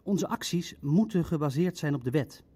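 Male official, fairly high voice, precise and argumentative; a cautious legal-minded Nazi bureaucrat for naturalistic radio drama. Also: lively intonation, normal speed, warm tone, husky. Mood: firm, explanatory. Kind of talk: Dutch audio drama acting, naturalistic meeting dialogue.

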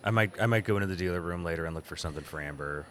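A man co-hosting a podcast in casual chat, his voice a little worn and husky from the weekend, talking in a relaxed way with fillers. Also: low-pitched, racing. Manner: relaxed, chatty with fillers and restarts. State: voice a bit worn, deep. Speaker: podcast co-host. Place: outdoor courtyard at a convention.

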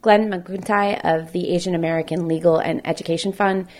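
A woman radio reporter narrating a news feature in a clear, measured way, with friendly questions in her interviews. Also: lowish voice, steady tone, a little mellow.

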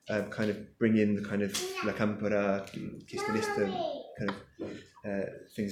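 Low-voiced author in an echoey room. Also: male voice, darkish tone, a little hiss or hum. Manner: hesitant, thinks aloud with many fillers. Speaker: author at his book launch. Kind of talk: answering audience questions. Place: lecture room with an audience.

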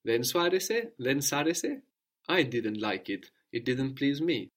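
A man's voice: medium pitch, very animated, slow. Patient male language teacher. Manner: patient, explanatory, prompting with questions. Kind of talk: audio language lesson.

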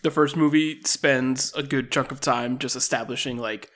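A man's voice: mid-range voice, moderate pace. A man hosting a podcast, chatting casually about a movie with lots of fillers and laughs. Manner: relaxed, laughing, many fillers. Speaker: podcast host. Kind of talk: casual movie review chat.

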